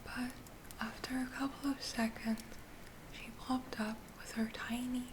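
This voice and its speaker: A woman performing a soft-spoken, whispered ASMR roleplay as a kind witch healer, soothing and gentle, lingering on her words. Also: a little high, flat delivery, a little slow, background noise.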